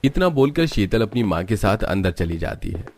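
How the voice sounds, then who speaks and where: A man's voice: fairly low pitch, very animated, normal speed, a little mellow. A man reading scripted storybook narration for a cartoon tale.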